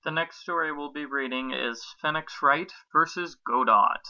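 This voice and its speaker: A man's voice: a little high, moderate pace, pushed and bright, lo-fi sound. A man reading fan fiction and reader comments aloud in a casual, comic podcast style.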